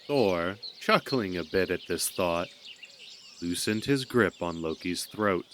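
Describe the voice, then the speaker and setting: A man's voice: sits low, lively intonation, slow pace, fairly bright tone. A man narrating a scripted audio drama as a storyteller. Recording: noticeable background noise.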